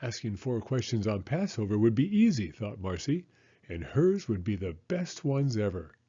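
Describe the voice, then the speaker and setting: A man narrating a children's storybook aloud in an animated read-aloud style. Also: fairly low pitch, moderate pace, slightly rough, highs cut off.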